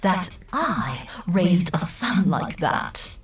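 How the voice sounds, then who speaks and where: A woman voicing a cruel, possessive spider demon mother in a visual novel story, with slow, menacing delivery that slips into breathy half-whispers. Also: fairly low pitch, very animated, voice pushed down, exaggerated voice. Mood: cold, scornful. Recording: echoey, distant, music underneath.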